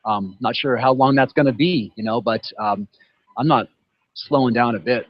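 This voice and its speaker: A man's voice: medium pitch, moderate pace, no room sound. A man in his fifties answering a panel question casually, often adding "you know" and "right". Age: 50s. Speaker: athlete guest. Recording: dull dry audio.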